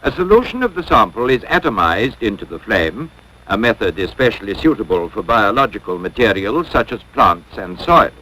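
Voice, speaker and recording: A man narrating a 1950s educational film from a script in a measured, formal, instructional way. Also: lowish voice, sing-song, sharp tone, rough recording.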